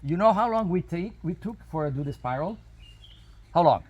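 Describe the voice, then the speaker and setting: A man who is not a native English speaker gives an informal explanatory talk to a group in a halting, emphatic way, with non-native phrasing. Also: fairly high pitch, animated, a little mellow, breathy edge, highs cut off. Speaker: spiritual workshop leader. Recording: noisy outdoor recording, natural ambience.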